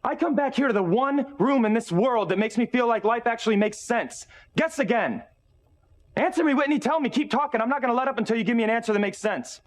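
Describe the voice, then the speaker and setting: A man's voice: mid-range voice, expressive, brisk, long pauses, darkish tone, no room sound. A man acting in a soap opera as an impulsive, earnest father, insistent and emotional. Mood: angry, demanding. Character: intense, demanding young father, impulsive and earnest.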